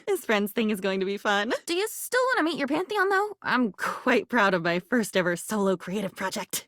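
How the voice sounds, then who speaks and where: A woman's voice: a little high, dry recording, studio quality. A woman voicing a young, earnest, dreamy living object in a video game, whimsical and theatrical, mixing flowery formal words with chatty asides. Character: female living diary, young, earnest, dreamy, newly self-aware. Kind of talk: video game character voice acting.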